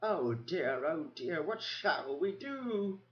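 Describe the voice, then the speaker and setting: A man's voice: fairly high pitch, sing-song, takes it slowly, reverberant, lo-fi sound, voice pushed up. A man voicing frightened timid mice who squeal and fret. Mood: panicky.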